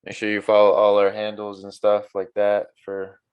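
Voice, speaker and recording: A man's voice: on the low side, completely flat delivery, normal speed, clean tone, lo-fi sound. A man hosting a casual podcast, wrapping up the show in a laid-back way with plenty of fillers. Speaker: podcast host. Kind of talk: casual chat, show sign-off.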